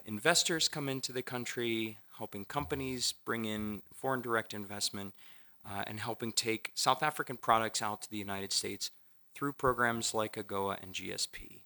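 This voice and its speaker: A man speaking for a government on a conference panel, measured and diplomatic. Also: on the low side, pushed and bright. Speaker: government trade official. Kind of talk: prepared remarks on a panel. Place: lecture hall at a conference.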